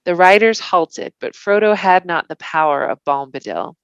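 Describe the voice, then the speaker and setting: A woman reading a novel aloud as scripted bedtime narration, with deliberate, expressive, dramatic phrasing. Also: lowish voice, smooth, no echo, lo-fi sound. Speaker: volunteer storybook reader.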